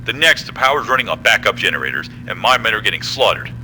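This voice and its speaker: A demanding male army general in an audio drama. The voice is lowish and very animated, blunt and confrontational, over a clearly noisy, humming background. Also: fast talker, exaggerated voice. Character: gruff, demanding, impatient male military general. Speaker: voice actor.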